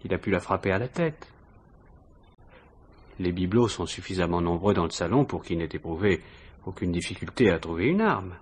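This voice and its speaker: Here is a male police inspector from an old radio mystery, low-voiced and husky. He probes with dry irony and feigned casualness, his intonation rising and dipping as he insinuates more than he states. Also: lively intonation, moderate pace, pauses often. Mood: measured, accusing. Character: probing, ironic male police inspector, calmly insistent. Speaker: radio drama actor. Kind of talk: 1950s French radio mystery acting.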